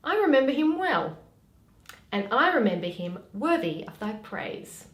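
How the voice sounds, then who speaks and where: A witty young heiress of Shakespearean drama, performed by a woman, speaks in a fairly high voice. She is arch, theatrical and scornful of her suitors, teasing as she goes. The room around her rings with echo. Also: big pitch swings, measured pace. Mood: fond. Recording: roomy, echoey home recording.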